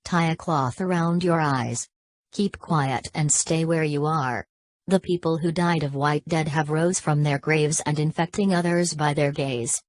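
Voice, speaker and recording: A woman's voice: deep voice, steady tone, unhurried, dry recording, low-quality recording. A woman voicing a formal emergency alert announcer in a scripted broadcast, with a stilted, synthetic-sounding delivery. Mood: formal, grave.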